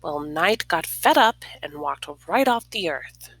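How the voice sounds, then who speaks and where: A woman reads a picture book aloud at a very slow, expressive pace. Her voice is bright yet creaky, with dramatic pauses that can fall toward a whisper and a few casual asides. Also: deep voice, dry recording, low-quality recording. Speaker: storybook reader.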